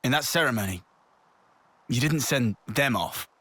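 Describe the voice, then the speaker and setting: A man's voice: mid-range voice, expressive, unhurried, fairly bright tone. A man playing a plain-spoken, sympathetic young soldier in a game drama, informal and candid. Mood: candid, relieved. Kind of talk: dramatic game cutscene acting.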